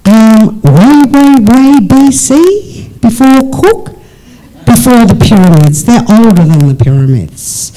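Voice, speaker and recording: An Australian speaker hosting a live panel discussion in a relaxed, joking manner. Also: medium pitch, low-quality recording. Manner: relaxed, joking, drawn-out phrasing. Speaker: panel host.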